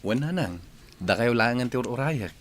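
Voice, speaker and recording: A man's voice: mid-range voice, lively intonation, moderate pace, natural voice. A man with an Ilocano accent playing a respectful, earnest grown village son in a radio drama. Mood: ready, eager. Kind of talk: Filipino radio drama acting.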